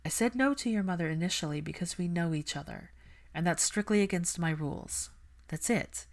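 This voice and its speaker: A woman's voice: on the low side, fairly quick, close to an everyday voice. A woman voicing a principled, stubborn young female healer who speaks earnestly and precisely in an audiobook. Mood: earnest, firm. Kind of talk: audiobook character dialogue.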